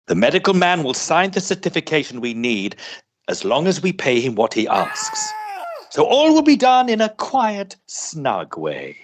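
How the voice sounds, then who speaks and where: A man's voice: a little high, huge pitch swings, measured pace, heavily acted. Actor as wicked guardian, smug and scheming, raised male voice swooping theatrically, old-time radio play. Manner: theatrical, insinuating. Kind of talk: old-style radio melodrama acting.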